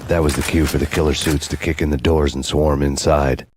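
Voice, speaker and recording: An actor playing a hard-boiled, world-weary vigilante who narrates his story in a deadpan, sardonic noir style. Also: very deep voice, fairly quick, heavy background noise. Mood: brooding, wry. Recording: music behind the voice.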